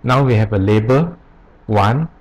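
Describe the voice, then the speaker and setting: A man presenting an unscripted software tutorial, explaining step by step in short, halting phrases. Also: fairly low pitch, low-quality recording. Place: voice-over on a screen recording.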